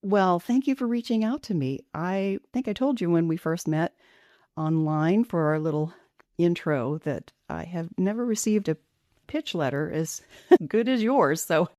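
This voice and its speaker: Low, mellow-voiced podcast host woman. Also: unhurried, dry recording, clean studio sound. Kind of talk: podcast intro and interview.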